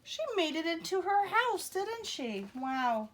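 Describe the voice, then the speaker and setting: A woman reading a picture book aloud to children in an expressive, teacherly way, with asides to young listeners. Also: very high voice, slow pace.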